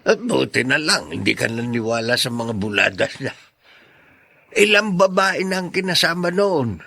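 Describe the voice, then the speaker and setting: Gravelly, sharp older man. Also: mid-range voice, very animated, normal speed, dry recording, full character voice. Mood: gossipy, cautioning. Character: older gossipy male neighbor, blunt and cautioning. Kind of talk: radio drama acting.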